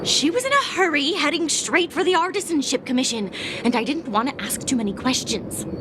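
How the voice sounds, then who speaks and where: A skeptical townswoman from a video game, high-voiced with big swings in pitch. She sounds wary and questioning over clear ambient noise. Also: lively intonation, a little fast. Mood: suspicious. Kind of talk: video game dialogue acting.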